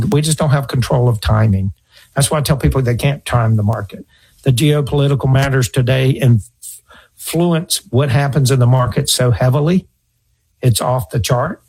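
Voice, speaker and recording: A man's voice: mid-range voice, steady tone, normal speed, gravelly, a little mellow, breathy edge, low-quality recording. A man in his fifties, a financial advisor talking earnestly, telling stories and repeating his points. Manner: earnest, storytelling, repeats himself. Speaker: financial advisor radio host. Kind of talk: conversational advice talk.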